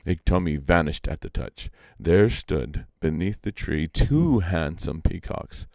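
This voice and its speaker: A man reading folk legends aloud as a storyteller, expressive and theatrical. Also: low voice, measured pace, gravelly, dry recording, studio quality.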